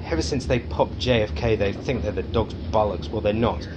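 An actor playing a crude, megalomaniac British arms company boss in a satirical audio drama, domineering, sarcastic and sweary. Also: male voice, lowish voice, moderate pace, muffled recording, background noise, natural voice. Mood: bitter, ranting.

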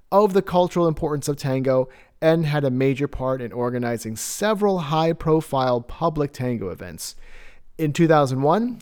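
A man's voice: fairly high pitch, darkish tone. A man hosting a podcast, reading a scripted guest introduction and asking interview questions. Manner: scripted introduction, then brief backchannels. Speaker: podcast host.

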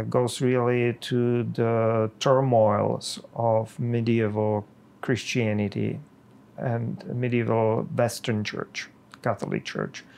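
A middle-aged man from the Czech Republic with a Czech accent, a pastor speaking slowly and haltingly with many pauses in a podcast conversation. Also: mid-range voice, vocal fry, darkish tone.

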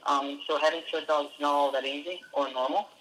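A man's voice: on the high side, steady tone, brisk, a bit bright, a little hiss or hum, low-quality recording. A man from Denmark, a dog musher, answering interview questions in a hesitant way with many fillers and restarts. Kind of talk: casual interview.

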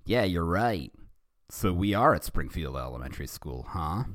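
A man's voice: low voice, sing-song, normal speed, a bit bright, no room sound, studio quality. A man voicing a cocky young male hedgehog hero in a fan story he reads aloud, with an eager, upbeat manner.

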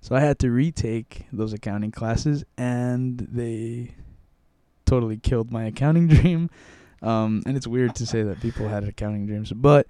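A man's voice: mid-range voice, normal speed, mellow, clear, resonant tone, no room sound. A young man from Mexico, telling his story in a casual interview, rambling with many restarts and fillers. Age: young adult.

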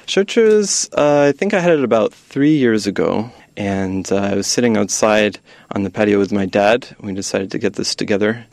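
A young man from the United States speaks in a low, friendly voice. He explains things at an easy pace and laughs often, recorded dry and close to the mic. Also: lively intonation, normal speed, gravelly, few pauses, a little mellow, dry recording. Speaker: guest, graduate student. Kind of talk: casual podcast chat.

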